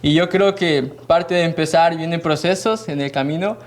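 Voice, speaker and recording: A man's voice: on the high side, moderate pace. Upbeat man hosting a podcast. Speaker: podcast host. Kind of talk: friendly interview and prayer.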